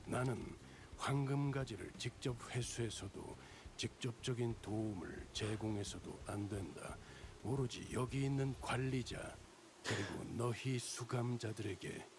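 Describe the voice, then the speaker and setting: An actor playing a stern, guarded guide in a video game, formal and aloof, with a gravelly voice. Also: male voice, lively intonation, noisy background, close to an everyday voice. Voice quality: gravelly low voice. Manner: formal, deliberate wording, aloof. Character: stern, guarded male guide bound by contract.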